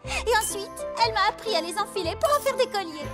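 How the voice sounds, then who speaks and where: Very high-pitched, excitable cartoon girl pony. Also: brisk, over loud music. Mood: earnest storytelling. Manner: gushing, dramatic, eager. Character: excitable, gushing young female cartoon pony. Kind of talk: animated children's cartoon dub.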